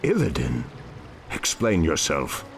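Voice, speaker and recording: Old elf druid leader, deep voice, solemn, game scene with faint music. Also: male voice, huge pitch swings, slow, vocal fry, exaggerated voice. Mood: stern. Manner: solemn, authoritative. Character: grave, ancient male elf druid leader. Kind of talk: video game cutscene acting.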